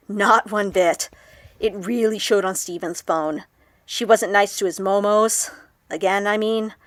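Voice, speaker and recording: A woman playing an awkward, excitable young woman vlogger in an audio drama, chatty and expressive. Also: mid-range voice, no echo, clean studio sound, close to an everyday voice. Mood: exasperated, rambling. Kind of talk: audio drama acted as a confessional vlog.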